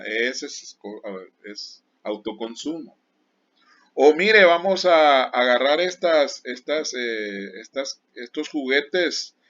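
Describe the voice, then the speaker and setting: Bright-voiced teacher lecturing. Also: male voice, on the high side. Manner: explains with everyday examples and repeated warnings. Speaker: accounting teacher. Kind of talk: classroom lecture. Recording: dull lo-fi sound.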